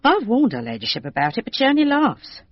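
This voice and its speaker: A woman's voice: fairly high pitch, wildly swooping pitch, fast talker, breathy edge, no room sound, dull audio, heavily acted. An actress playing a gossipy, deferential English lady's maid who talks in a confiding, colloquial way in a radio drama. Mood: worried, confiding.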